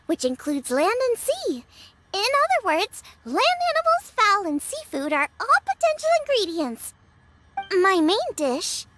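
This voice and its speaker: A woman's voice: very high-pitched, a bit bright, slight background noise, full character voice. An actress voicing a young female chef who is upbeat, eager and encouraging, with a bubbly manner. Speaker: chef, contestant.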